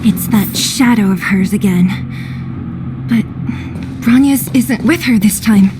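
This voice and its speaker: An actress playing a spirited, watchful young heroine in a video game. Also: female voice, a little high, slow, over music. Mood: thoughtful. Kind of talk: video game character acting.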